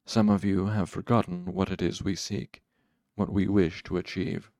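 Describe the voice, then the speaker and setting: A man voicing a hooded dark lord in a fantasy story, cool and controlled, speaking with slow, precise patience and quiet menace. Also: deep voice, little pitch movement, vocal fry, no room sound, clean studio sound. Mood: patient reproach. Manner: slow, patient, precise, sharpening to hard command.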